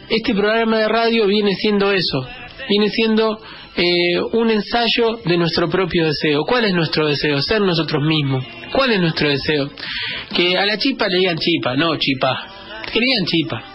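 A man's voice: sits high, moderate pace. A man with a Greater Buenos Aires Argentine accent, hosting a radio show with a reflective spoken editorial, repeating phrases for emphasis. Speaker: radio host. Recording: dull band-limited radio sound, music behind.

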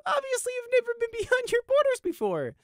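A man voicing a flippant, high-pitched young pony prisoner. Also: sing-song, moderate pace, a little mellow, dry recording, clean studio sound. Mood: gleeful mockery. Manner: flippant, needling, theatrical. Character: small young male pony, flippant mocking mind-magic prisoner. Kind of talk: audiobook character acting.

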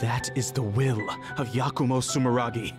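A man plays a domineering older brother, a fanatical video game villain bent on revenge. His mid-pitched voice lectures with condescension and command, over loud dramatic music. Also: steady tone, normal speed, close to an everyday voice. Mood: grave, resolute. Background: dramatic background music.

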